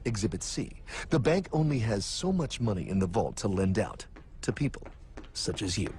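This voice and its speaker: A man playing a smug, theatrical cartoon narrator who lectures in a pompous, deliberate, mock-mysterious way. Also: lowish voice, very animated, a little mellow, slight background noise. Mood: mock solemn. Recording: background music under the voice.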